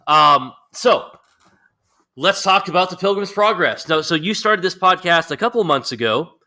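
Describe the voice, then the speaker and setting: A man's voice: high-pitched, animated, normal speed, pushed and bright. An American man hosting a podcast, chatting casually with joking banter. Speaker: podcast host. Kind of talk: casual interview chat.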